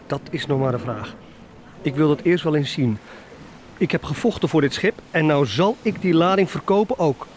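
An actor playing a hard, domineering, mercenary privateer captain in a radio drama, barking commands. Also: male voice, fairly high pitch, expressive, normal speed, a little hiss or hum, natural voice. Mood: gruff, commanding.

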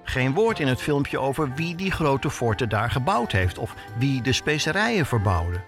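A man's voice: medium pitch, big pitch swings, moderate pace, fairly bright tone, soft background music. A man with a Netherlands Dutch accent narrating a scripted podcast as its host, telling it personally and posing rhetorical questions.

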